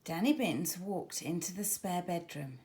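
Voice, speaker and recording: A woman children's author reading her storybook aloud with expressive, dramatic emphasis for young listeners. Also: on the low side, measured pace.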